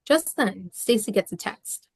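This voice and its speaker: A woman narrates scripted stage directions in a mid-range voice with noticeable vocal fry. The recording is close and dry, with no room sound. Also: mid-range voice, animated, unhurried, dry recording.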